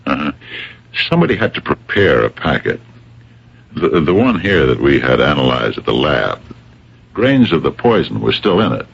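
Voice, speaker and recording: A man acting in a radio mystery as a shrewd, dogged police captain who questions people in a deliberate, probing way, with a gravelly voice. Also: extremely low pitch, big pitch swings, full character voice. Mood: probing, measured. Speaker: radio drama actor. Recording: dull old radio broadcast recording.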